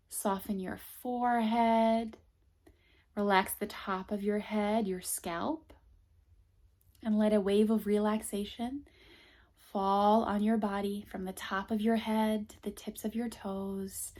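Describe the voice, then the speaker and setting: A woman yoga teacher leading a guided meditation in soothing, gentle short phrases, with a soft voice that turns breathy at times. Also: mid-range voice.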